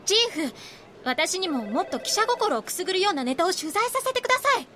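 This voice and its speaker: A woman's voice: very high voice, sing-song, brisk, music in the background. A woman playing an ambitious, insistent young rookie magazine reporter in a Japanese voice drama. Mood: eager, pleading. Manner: earnest, insistent.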